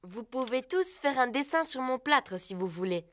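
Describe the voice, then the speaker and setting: A woman's voice: high-pitched, animated, normal speed. A woman voicing a friendly, polite little boy pony in a preschool cartoon.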